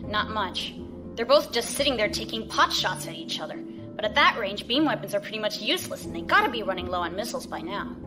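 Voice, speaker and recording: A woman's voice: mid-range voice, expressive, fast talker, natural voice. A woman playing a brash, wry spaceship crew officer in a science fiction audio drama, casual and blunt. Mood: wry, frank. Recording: music behind the voice.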